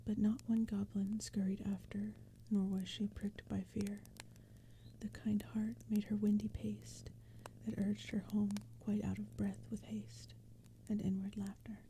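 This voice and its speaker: Slow whispering woman, very soft and mellow. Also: mid-range voice. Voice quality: breathy whisper, mostly unvoiced. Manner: gentle, unhurried, even storytelling. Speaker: ASMR narrator reading poetry. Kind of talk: ASMR, soft whispered poetry reading. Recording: steady background ambience noise. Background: crackling fire and forest sounds.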